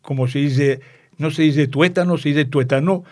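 An older man from Tangier with a northern Moroccan Judeo-Spanish accent, chatting as an interview guest in a playful, digressive storytelling way and pausing to explain words. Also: fairly high pitch, darkish tone, no echo. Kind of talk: conversational storytelling.

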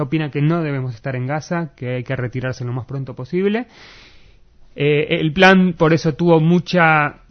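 An Argentine man with a moderately high voice explains things in an interview setting. He feels his way through sentences, pausing for the right word and repeating key ones to press his point. The sound is dull and a little lo-fi. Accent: Argentine Spanish. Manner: halting, searching for words, repeating key words for emphasis. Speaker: interviewee giving political analysis. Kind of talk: spoken interview, explanatory analysis.

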